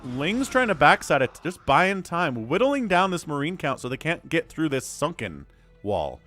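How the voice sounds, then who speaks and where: A man calling a live strategy game match solo, his voice a little hoarse and stuffy from a cold, swinging from calm analysis to loud exclamations. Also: fairly high pitch, moderate pace, soft background music. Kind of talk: live esports play-by-play commentary.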